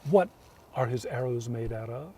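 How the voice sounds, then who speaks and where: A man's voice: mid-range voice, lively intonation, moderate pace, warm tone, far from the mic, a little hiss or hum, pitched below the performer's own voice, close to an everyday voice. A man voicing a spirit's stern sister, commanding and testing, in a told folk tale.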